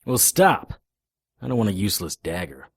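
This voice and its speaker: Tough, sardonic male occult detective for radio drama man acting; deep, dry-recorded, gruff and guarded. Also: big pitch swings, moderate pace, no echo, heavily acted. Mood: guarded, irritated. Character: tough, wry male occult investigator, narrating his own cases. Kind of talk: hardboiled radio drama dialogue and narration.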